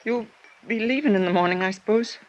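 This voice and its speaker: An actress with an American accent playing a frightened, secretive country innkeeper's wife in an old radio drama, nervous and halting. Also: female voice, mid-range voice, slight background noise, lightly acted, poor audio. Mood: subdued.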